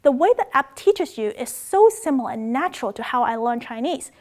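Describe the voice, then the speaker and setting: A woman from Macau, raised in Australia, narrates a scripted lesson on word origins. Her voice sits high and she delivers it in an upbeat, playful way, with sudden excited jumps in pitch. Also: moderate pace. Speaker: language video host.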